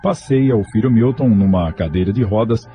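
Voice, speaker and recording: A man's voice: on the low side, moderate pace, highs cut off, soft background music. A Brazilian man giving scripted, dramatic narration for a radio soap opera.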